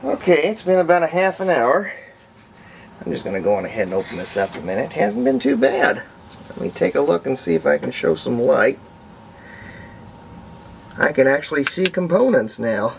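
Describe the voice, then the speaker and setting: Gravelly man talking through a hands-on project. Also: a little high, big pitch swings, a little hiss or hum. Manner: unhurried, folksy, thinking aloud. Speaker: hobbyist restorer and video host. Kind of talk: casual hands-on demonstration vlog.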